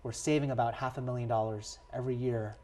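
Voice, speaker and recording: A man's voice: medium pitch, fairly even intonation, fast talker, mellow, a little hiss or hum. An American man in his thirties, a school board member, answering interview questions in a fluent, composed way. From United States.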